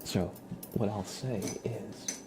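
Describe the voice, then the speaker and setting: A man livestreaming ASMR, soft-spoken and playful, slipping between a murmur and a whisper as he chats and roleplays. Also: fairly low pitch, steady tone, slow pace, vocal fry, background noise. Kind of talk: ASMR live chat with roleplay, partly whispered. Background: scissor sounds.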